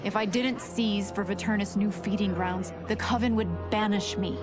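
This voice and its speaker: A woman acting an imperious vampire schemer over loud music. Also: medium pitch, steady tone, moderate pace, close to an everyday voice. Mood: bitter, calculating. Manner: imperious, dramatic. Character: ruthless, scheming female winged vampire predator feeding her people. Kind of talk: scripted game story narration.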